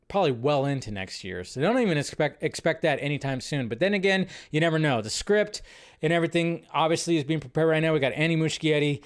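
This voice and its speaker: A man's voice: fairly high pitch, clean tone. A man hosting a casual movie news show, rambling and laughing as he talks. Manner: rambling, laughs often, trails off. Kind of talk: casual solo commentary.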